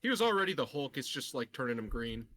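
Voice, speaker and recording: A man's voice: mid-range voice, big pitch swings, quick pace, a crowd in the background. A man playing a tabletop roleplaying game, talking casually and jokingly about his moves. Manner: jokey, playful.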